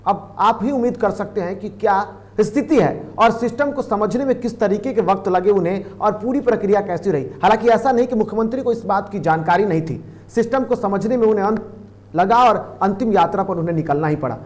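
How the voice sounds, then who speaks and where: Male news presenter, high register, emphatic and repetitive while commenting on a report. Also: brisk. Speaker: news anchor.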